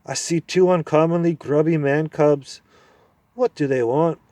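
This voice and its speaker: A man voicing a deaf, irritable old male dwarf who grumbles loudly and impatiently, in a storybook reading. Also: a little high, unhurried, no room sound, pitched above the performer's own voice, close to an everyday voice. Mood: cranky.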